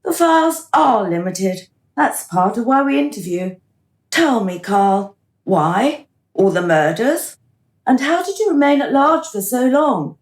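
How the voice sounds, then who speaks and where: An actress playing a cool, smug administrator of a dystopian afterlife in a radio drama, officious and mocking. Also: female voice, fairly high pitch, big pitch swings, a little slow, roomy sound. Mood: amused, curious.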